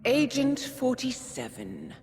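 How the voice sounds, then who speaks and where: An actress playing a cold, mocking female handler in a video game, speaking in a measured, taunting way. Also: on the high side, wildly swooping pitch, darkish tone, full character voice. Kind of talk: video game cinematic voice acting. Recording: noisy, long cavernous reverb.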